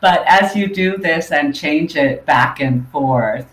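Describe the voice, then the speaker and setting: A man, an ESL literacy teacher, giving a webinar presentation in a measured, explanatory way. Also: fairly high pitch, a bit bright. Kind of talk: instructional webinar talk. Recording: roomy echo.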